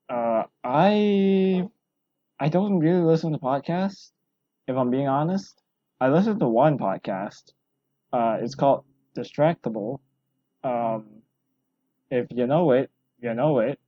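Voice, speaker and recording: A man hosting a solo podcast, rambling and self-deprecating, his voice hoarse from talking a long time. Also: mid-range voice, animated, slow, pauses often, dry recording, muffled recording. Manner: rambling, self-deprecating, full of fillers and restarts. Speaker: podcast host.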